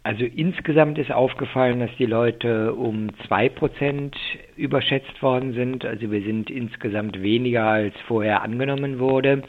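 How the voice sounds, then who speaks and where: An older man explaining things as an expert in a radio interview over the telephone, with halting delivery and many filled pauses. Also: mid-range voice. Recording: muffled, thin telephone line.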